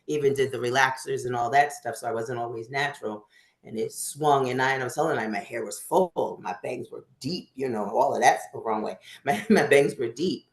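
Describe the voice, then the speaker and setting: A woman's voice: rumbling low, a little fast, roomy sound. A woman in her fifties hosting a casual group chat, chatty and laughing often. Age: 50s. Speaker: host.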